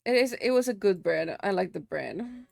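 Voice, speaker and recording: A woman's voice: mid-range voice, expressive, moderate pace, creaky, a little mellow, no echo. Dry, chatty woman streamer, Spanish native. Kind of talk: casual live-stream chat while working.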